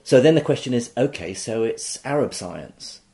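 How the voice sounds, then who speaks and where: A man's voice: medium pitch, animated, moderate pace, mellow. A man who grew up in Iraq, an author being interviewed, explaining at length with many fillers and restarts. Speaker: author, guest. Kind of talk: interview conversation.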